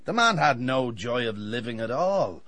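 A roguish, hard-drinking dentist in a radio play. He is talkative and sardonic, with a medium-pitched voice recorded close and dry. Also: very animated, normal speed, bright, forceful tone, no room sound. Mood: wry, teasing. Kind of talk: radio drama acting.

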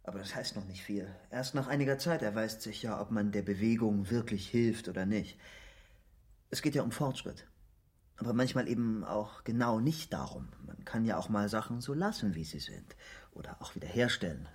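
Low-voiced, evasive male spokesman, played by an actor. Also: lively intonation, normal speed, close to an everyday voice. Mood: vague, explaining. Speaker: movement spokesman. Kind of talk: naturalistic radio drama dialogue.

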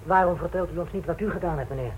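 A man's voice: a little high, very fast, natural voice. An actor playing a cocky young male police trainee in a Dutch radio play, forward and politely insolent. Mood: bold. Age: young adult. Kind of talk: Dutch radio drama acting. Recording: thin, noisy old radio recording.

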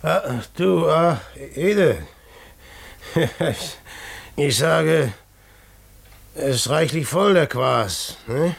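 An actor playing a hearty but scheming male village innkeeper in a German radio play, with deliberate theatrical delivery. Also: a little high, lively intonation, vocal fry, slightly rough, full character voice. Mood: uneasy, dismissive.

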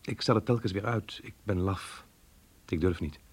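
A troubled lover confessing to his diary in a radio drama. His voice is fairly low and very husky. He sounds hesitant, brooding and full of self-reproach. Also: normal speed. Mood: troubled, resigned.

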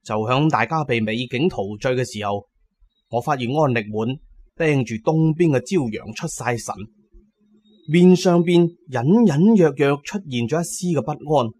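A man's voice: medium pitch, animated, normal speed, darkish tone, breathy edge. Audio drama narrator: male, mid-range voice, dramatic storytelling delivery. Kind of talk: dramatic first-person storytelling.

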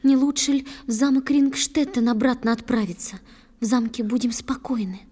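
A woman playing a gentle, loving young water-spirit wife who pleads tenderly in drawn-out theatrical verse for a children's audio drama. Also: fairly high pitch, steady tone, natural voice. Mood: tender pleading. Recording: studio drama over soft music.

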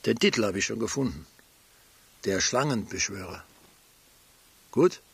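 An actor playing a quiet, educated labour-camp prisoner with a husky voice and a wistful storyteller's manner. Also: male voice, lowish voice, expressive, measured pace, full character voice. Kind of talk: radio play dialogue.

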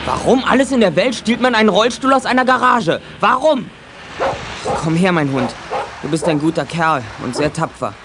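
A man's voice: sits high, expressive, normal speed, heavy background noise. A young male actor playing a plucky, polite schoolboy in a children's radio play, speaking earnestly and eagerly. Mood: puzzled, earnest. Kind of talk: 1980s German children's radio play acting.

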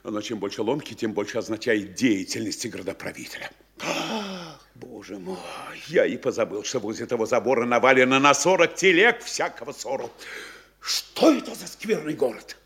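An actor playing a fretful, scheming middle-aged provincial mayor, muttering to himself and bursting into shouts. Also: male voice, mid-range voice, very animated, normal speed, gravelly, husky, full character voice. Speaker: actor in a radio play. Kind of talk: classic stage radio acting.

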